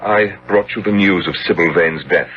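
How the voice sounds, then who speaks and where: A man acting a stern, reluctant gentleman in a 1970s radio drama, brusque, formal and incredulous, on an old radio recording. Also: deep voice, steady tone, moderate pace, muffled recording, slight background noise, lightly acted. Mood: curt, reproachful.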